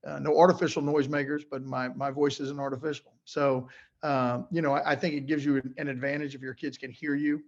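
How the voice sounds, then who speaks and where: Middle-aged man, coach, gravelly, flat pitch, muffled lo-fi sound, loud and halting. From West Virginia. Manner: energetic, many uhs and repeated words. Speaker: basketball coach. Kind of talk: casual interview answers.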